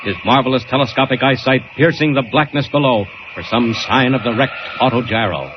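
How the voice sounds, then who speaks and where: A muffled, noisy old radio recording. A male announcer with a middle-pitched voice narrates a serial in dramatic, declamatory fashion. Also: fairly quick.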